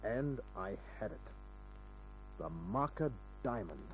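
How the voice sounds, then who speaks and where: An actor playing a tough, sardonic private detective in a clipped old-time radio crime style, on a noisy old broadcast. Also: male voice, mid-range voice, expressive, takes it slowly, loud music behind the voice. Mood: matter-of-fact. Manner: hard-boiled, sardonic, clipped. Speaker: actor playing the lead and narrator.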